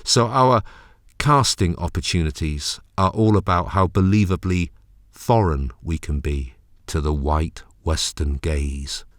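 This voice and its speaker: A man narrates a personal essay film in a low, deep voice. His delivery is wry and rhythmic, building through repeated phrases. The sound is dry, with very little room on it. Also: unhurried, dry recording. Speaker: presenter, narrator, actor.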